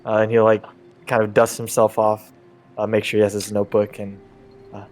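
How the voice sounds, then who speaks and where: A man's voice: fairly low pitch, completely flat delivery, fairly quick, a little mellow, slight background noise. A man improvising role-play as a player in a tabletop game, with a hesitant, tentative, stammering manner. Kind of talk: improvised role-play, describing and speaking for his character.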